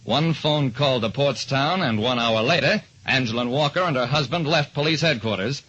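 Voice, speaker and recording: Raspy, forceful hard-boiled private eye. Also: male voice, mid-range voice, moderate pace. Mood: brisk, sardonic. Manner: clipped, dramatic storytelling. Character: hard-boiled male private detective narrating his case. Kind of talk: 1940s radio detective narration. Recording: dull old-time radio recording.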